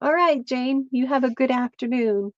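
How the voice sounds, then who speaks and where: A woman's voice: high voice, moderate pace, no echo, muffled recording. A middle-aged woman from Pennsylvania, chatting casually with viewers while she crafts on a livestream. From central Pennsylvania.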